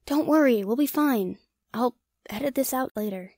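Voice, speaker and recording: A woman's voice: a little high, normal speed, darkish tone, no room sound, clean studio sound. A woman acting a cocky young ghost-hunting vlogger in an audio drama, brash and teasing. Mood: showy. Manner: brash, teasing, talks herself up.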